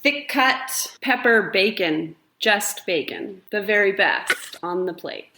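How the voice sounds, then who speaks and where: A woman's voice: mid-range voice, expressive, slow pace, pushed and bright. A middle-aged woman, a drama teacher, chatting as a guest with enthusiasm. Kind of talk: casual chat. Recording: roomy echo.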